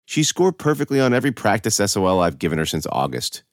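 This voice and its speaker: A man's voice: fairly low pitch, very animated, fast talker, no echo, studio quality, pitched above the performer's own voice. Man voicing an earnest, exasperated female schoolteacher.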